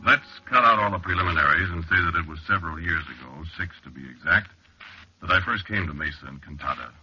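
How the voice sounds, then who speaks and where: A very low, hard-edged man's voice plays a wry, confiding researcher who refuses to be scared. He speaks conversationally and with dry understatement, in the manner of 1940s radio drama. The old recording is muffled. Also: fast talker, bright, forceful tone, breathy edge, faint music behind, exaggerated voice. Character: male cliff-dwelling researcher, wry, confiding, stubbornly unafraid. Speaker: narrator and lead actor. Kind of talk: 1940s radio drama first-person narration.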